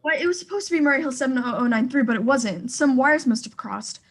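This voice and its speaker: A high-pitched woman's voice in a suspense radio play acted by a woman. She is an anxious, sickly wife who demands to be heard, fretful and theatrical in her delivery. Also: fast. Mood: horrified, agitated.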